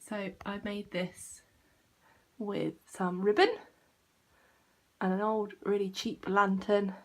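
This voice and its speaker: Husky young British woman chatting casually. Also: mid-range voice. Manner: self-deprecating, unpolished. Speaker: vlogger giving a home tour. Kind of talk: casual informal chat to camera.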